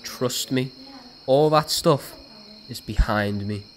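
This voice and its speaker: A man's voice: mid-range voice, slow, lightly acted. Man playing a brash British student, noisy outdoors. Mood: hushed, scheming. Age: young adult. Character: brash young male college student, cocky loyal friend. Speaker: actor in an audio drama. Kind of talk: naturalistic audio drama acting.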